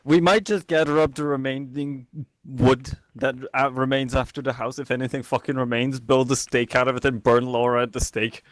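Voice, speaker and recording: A man's voice: mid-range voice, fairly even intonation, normal speed, dry recording, poor audio. Sarcastic man quipping at a game. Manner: sarcastic quips, swears casually. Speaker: player. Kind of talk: casual online tabletop game chat.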